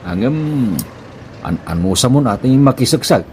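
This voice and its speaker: A man's voice: mid-range voice, lively intonation, a little hiss or hum, close to an everyday voice. A man playing a flustered, lovestruck married tricycle driver in an Ilocano-accented radio comedy, stammering and drawing out his exclamations. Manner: stammering, exclaiming, drawn-out words. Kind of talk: comedic radio drama acting.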